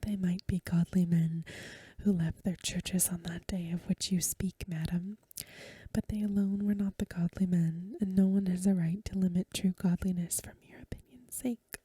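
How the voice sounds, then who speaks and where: An earnest young maiden played by a woman reader speaks in a very breathy, dark whisper. She is brave and formal, with archaic storybook diction, heard close and dry. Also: lowish voice, steady tone, normal speed, no echo. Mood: quietly defiant. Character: earnest, brave young orphan girl standing up for godliness. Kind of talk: soft-spoken storybook reading.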